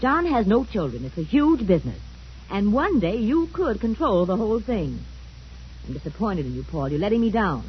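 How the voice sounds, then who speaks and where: A woman playing a hard, scheming middle-aged mother in an old-time radio drama, with a husky, commanding voice. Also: medium pitch, sing-song, moderate pace. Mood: scolding. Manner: scolding, commanding, controlled. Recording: dull old radio recording.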